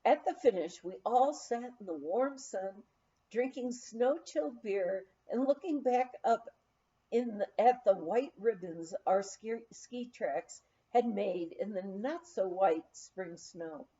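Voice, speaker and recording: A woman reading a memoir aloud in an expressive, measured storytelling manner. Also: medium pitch. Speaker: audiobook reader. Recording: dull, lo-fi sound.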